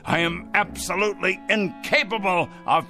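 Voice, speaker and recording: Pompous zealot, highish voice, self-righteous ranting, theatrical radio play delivery, music underneath. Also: male voice, normal speed, bright, forceful tone. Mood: righteous, dismissive. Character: fanatical, self-righteous crank obsessed with punishing evil. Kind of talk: radio drama acting.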